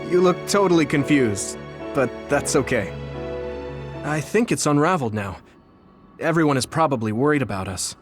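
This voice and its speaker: Video game lead, male actor: idealistic young soldier, sincere and reflective, slightly high voice. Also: expressive, moderate pace, music in the background, close to an everyday voice. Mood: earnest, grateful. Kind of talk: English video game voice acting, dramatic dialogue.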